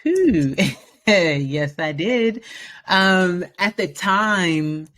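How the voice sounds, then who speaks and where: A woman's voice: lowish voice, animated, drawn-out words, fairly bright tone. A woman in her thirties from the Bay Area, talking as a guest in a casual interview, upbeat and confident with plenty of fillers. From San Francisco Bay Area, US. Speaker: podcast guest.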